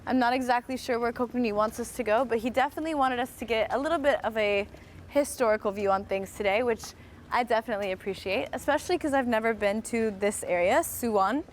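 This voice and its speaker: A cheerful American woman with a fairly high voice chats her way through a live walking stream outdoors. She thinks aloud, fills her sentences with "um" and "like", and keeps talking straight to her viewers. Street noise sits faintly behind her. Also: fast talker. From United States. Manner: chatty and upbeat, thinks aloud with frequent fillers. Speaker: host. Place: outdoors, walking around a historic site.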